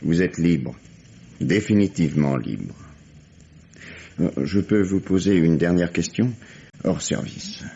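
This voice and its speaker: An actor playing a weary, dogged police detective in a vintage French radio drama. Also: male voice, low voice, expressive, slow pace, gravelly, pauses often, mellow, highs cut off, faint music behind, full character voice. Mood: wry, determined.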